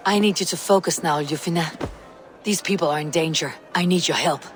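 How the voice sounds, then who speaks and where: A woman's voice: on the low side, a little fast, long reverb tail, music in the background, lightly acted. An actress playing a stern, determined female Viking warrior in a video game, speaking in a terse, commanding way. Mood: firm, urgent. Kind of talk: dramatic video game acting.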